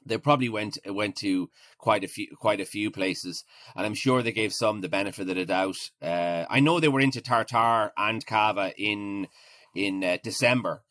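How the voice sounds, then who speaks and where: An Irish man in his forties talking casually as an interview guest, halting and often repeating his words. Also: lowish voice, fairly bright tone, dry recording, studio quality. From Ireland. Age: 40s.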